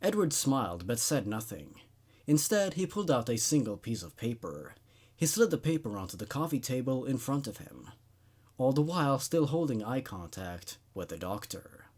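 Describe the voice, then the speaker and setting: A man's voice: medium pitch, big pitch swings, normal speed, darkish tone. A man reading a horror story aloud as host, in a dramatic narrating style.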